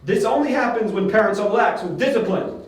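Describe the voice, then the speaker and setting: Casting: male actor as slow-witted, smug henchman for audio drama, high for a man, distant sound. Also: expressive. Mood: threatening. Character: dim-witted male henchman, gruffly obedient thug. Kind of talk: amateur audio drama acting. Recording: very echoey, distant from mic.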